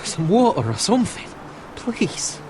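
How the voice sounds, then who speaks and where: Slow, weary wounded man. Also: sits high, big pitch swings, background noise. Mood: pained. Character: wounded, weary, sardonic male fighter. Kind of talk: dramatic video game voice acting.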